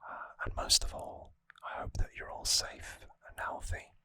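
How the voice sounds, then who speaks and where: An ASMR host speaking in a breathy, unvoiced whisper, gentle and earnest, slightly rambling to viewers, close on the microphone. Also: measured pace, no echo. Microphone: close microphone in a dry space.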